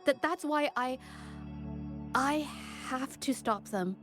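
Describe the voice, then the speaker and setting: A woman's voice: high voice, takes it slowly, fairly bright tone, faint music behind. A woman playing a shy, earnest young artist in a video game, speaking in a hesitant, apologetic way.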